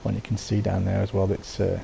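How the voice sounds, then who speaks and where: A man with a sore throat and a croaky voice, casually talking through how he built something, rambling with lots of ums and restarts. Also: deep voice, monotone, a little fast, dark tone, slight background noise. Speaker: game settlement builder. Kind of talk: casual voice-over walkthrough.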